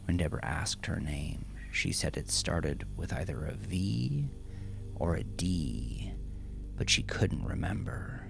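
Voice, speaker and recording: A man narrating dark fiction in a dramatic, measured, brooding way, with phrase endings that drop to a hush. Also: sits low, animated, vocal fry. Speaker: audiobook-style narrator. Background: music.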